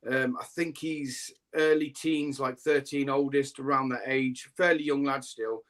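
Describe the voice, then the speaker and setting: A man's voice: mid-range voice, a little slow. A man chatting casually about ant keeping, rambling a bit with plenty of "um"s and "uh"s. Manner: rambling, relaxed, many "um"s and fillers. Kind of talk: casual chat.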